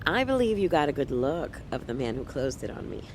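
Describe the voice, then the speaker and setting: A woman's voice: extremely low pitch, big pitch swings, fairly quick, background noise, exaggerated voice. A woman playing a troubled, sardonic young woman in a noir radio drama, guarded and wry in her delivery.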